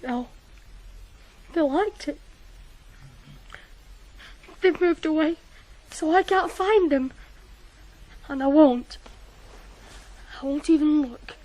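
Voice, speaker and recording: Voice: sits high, takes it slowly, long pauses, a little mellow, rough recording. A performer playing a proud, stubborn, wounded young farm boy in a radio drama. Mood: hurt, tearful. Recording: 1980s radio play, some background noise.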